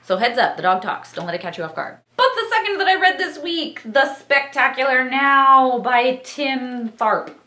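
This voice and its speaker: Female book-review vlogger, exuberant and theatrical, very lively pitch movement, slight room echo. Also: normal speed, hardly any pauses. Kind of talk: vlog book review with passages read aloud.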